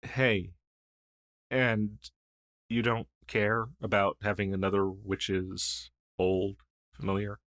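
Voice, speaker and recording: A man's voice: lowish voice, flat delivery, slow, a bit bright, dry recording, studio quality. A man playing a friendly, shy crow familiar in an intimate fantasy audio roleplay, speaking in a hesitant, earnest, conversational way. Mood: touched, grateful. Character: friendly, shy crow familiar, a masterless male magical helper. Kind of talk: intimate ASMR roleplay acting.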